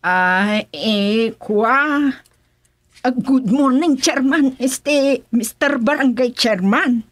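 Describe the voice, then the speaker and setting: A woman's voice: high voice, drawn-out words, dry recording, full character voice. An actress with an Ilocano Filipino accent playing a councilman's flustered wife, hesitant and whining in protest, in a comedy radio drama. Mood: flustered, pleading.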